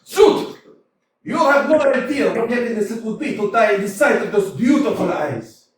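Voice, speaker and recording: An actor playing a hot-tempered middle-aged landowner in a staged comedy reading, swinging from mutters to loud outbursts. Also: male voice, very high-pitched, animated, normal speed, a little hiss or hum. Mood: frantic. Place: community theater stage. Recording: echoey hall, mic from far off.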